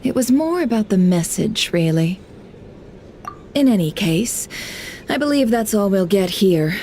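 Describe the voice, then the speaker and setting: A woman voicing a composed, shrewd intel broker in a video game, speaking in a formal, measured, coolly teasing way. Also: mid-range voice, big pitch swings, dark tone, a little hiss or hum. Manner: formal, measured, understated menace.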